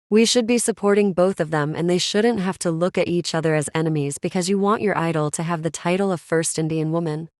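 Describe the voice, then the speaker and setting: A woman giving an earnest, opinionated commentary voice-over, her voice sitting a little low. The sound is dry and close to the mic, with no room around it. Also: no room sound. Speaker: video creator.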